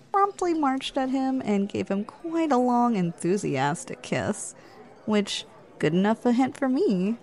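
A woman's voice: a little high, a little mellow. Flirty, teasing woman acting on a date. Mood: amused, flirty. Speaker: ASMR roleplayer. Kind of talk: ASMR roleplay.

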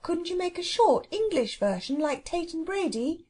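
A woman voicing a bright, curious little girl in a children's storybook, with a lively, inquisitive manner. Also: extremely high pitch, moderate pace, voice pushed up.

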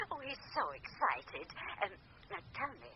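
An eerie woman medium in a radio thriller, fussy and halting, with fervent pronouncements. Her pitch swoops widely. The recording is muffled, with some faint noise. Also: wildly swooping pitch, heavily acted. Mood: entranced. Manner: halting, breathy exclamations, calls people dear. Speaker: clairvoyant medium. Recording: muffled, noisy old radio drama recording.

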